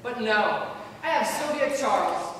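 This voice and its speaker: Sour, suspicious landlord, played by a woman, fairly high voice, griping, amateur play recorded from a distance. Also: expressive, a little slow. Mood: bitter, griping. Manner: sarcastic, griping, projected. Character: grumpy, suspicious, money-minded landlord, Mister Schmidt. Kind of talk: amateur stage play acting. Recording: echoey hall, distant mic, faint noise.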